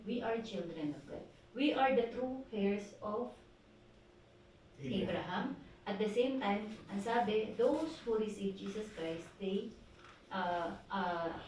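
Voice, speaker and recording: A woman pastor with a Filipino accent preaching at a prayer meeting, asking the congregation questions and reading scripture slowly. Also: medium pitch, a little mellow. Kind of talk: sermon exhortation at a prayer meeting. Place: church hall. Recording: echoey hall from far off, faint noise.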